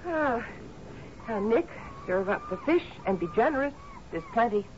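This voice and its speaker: An actress in a radio drama playing a cheerful, bossy woman in her twenties keeping house on an island, brisk and coaxing. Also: on the high side, very animated. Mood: bright, bustling. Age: 20s. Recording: dull, noisy 1970s radio recording.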